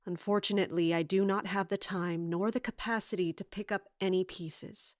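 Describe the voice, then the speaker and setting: A woman's voice: mid-range voice, fairly even intonation, normal speed, a little mellow, no echo, studio quality, natural voice. An actress playing a composed, formal and stern female captain with an authoritative manner in an audio drama. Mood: firm, formal.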